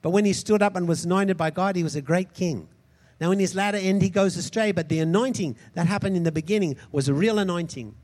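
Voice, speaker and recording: A man preaching a sermon, impassioned, swinging between loud shouts and soft, quick asides. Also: high voice. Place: large hall converted into a church.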